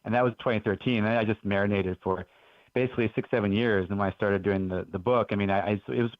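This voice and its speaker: A man's voice: on the low side, fairly even intonation, fast talker, no room sound. A man in his forties, from Belgium, telling stories as a guest author in an earnest, enthusiastic way on a radio interview. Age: 40s. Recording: dull lo-fi radio audio.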